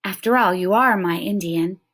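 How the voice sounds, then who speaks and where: A woman voicing an eager young boy who talks quickly, pleads and stumbles over his words. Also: mid-range voice, a bit bright. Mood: eager pleading.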